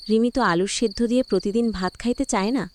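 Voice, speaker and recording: A woman's voice: mid-range voice, very fast, a little mellow, a little hiss or hum, close to an everyday voice. A woman playing a worried poor village wife and mother in a cartoon drama, speaking plaintively.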